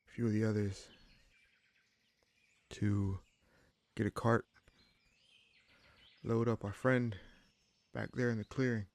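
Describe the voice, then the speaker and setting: A man playing an easygoing, protective bandit leader in a fantasy roleplay audio drama, speaking in a halting, conversational way with a gravelly voice. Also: lowish voice, a little mellow. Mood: commanding, casual. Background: birdsong, forest ambience.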